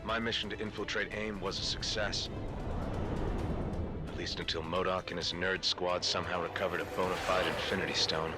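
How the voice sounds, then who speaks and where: A man playing a gruff, wry veteran spy hero in a video game cutscene, dry and confident. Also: deep voice, little pitch movement, moderate pace, natural voice. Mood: wry urgency. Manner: dry, confident, matter-of-fact. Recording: game music behind.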